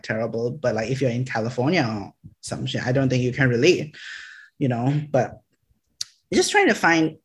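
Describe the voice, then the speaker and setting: A young man from Malaysia, chatting in an interview, rambling with lots of "like" fillers and frequent laughs. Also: mid-range voice. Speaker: social media creator, guest. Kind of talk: casual interview chat.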